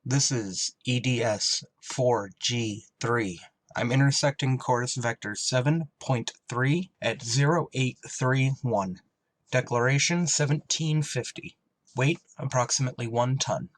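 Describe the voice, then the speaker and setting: A man playing a blunt, solemn spaceship pilot who also narrates a radio drama, deliberate and terse, with buried feeling. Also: mid-range voice, lightly acted. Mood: clipped, grim. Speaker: actor and first-person narrator.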